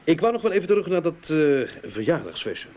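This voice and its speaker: Probing detective, very varied intonation. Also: male voice, fairly high pitch, big pitch swings, brisk, a little mellow. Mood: measured, businesslike. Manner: inquisitive, businesslike. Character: probing, methodical male private detective, matter-of-fact. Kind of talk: Dutch radio play acting. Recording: old radio play recording, background noise.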